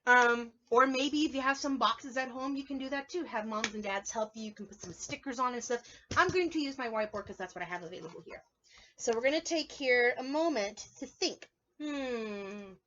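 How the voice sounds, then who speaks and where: A woman's voice: on the high side, moderate pace, sharp tone, muffled recording, slight background noise. A woman preschool teacher reading aloud and leading a drawing lesson for young children, playful and encouraging, often asking her listeners questions.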